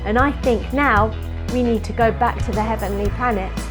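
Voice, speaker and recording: A woman voicing two excited, grateful celestial brothers in a children's story, gushing with gratitude. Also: on the high side, moderate pace, faint music behind, close to an everyday voice.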